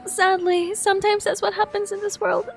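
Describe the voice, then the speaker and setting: A woman's voice: extremely high pitch, level tone, normal speed, creaky, music in the background. A woman streaming her reactions to a game story and reading dialogue aloud, emotive, exclamatory and sympathetic.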